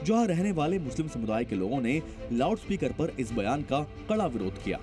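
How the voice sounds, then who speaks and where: A man's voice: medium pitch, very animated, brisk. A man narrating a scripted television news report in a dramatic, emphatic manner. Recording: voice-over with music bed.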